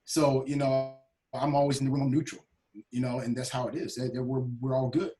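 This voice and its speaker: A man taking part in a group discussion, talking in a relaxed, conversational way. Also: mid-range voice, brisk, low-quality recording. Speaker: group participant.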